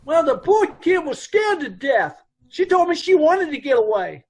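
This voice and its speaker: An actor playing a brusque, chatty dance hall boss with folksy, blunt speech in an old-time radio police drama. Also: male voice, very high-pitched, sing-song, moderate pace, heavily acted. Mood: confiding.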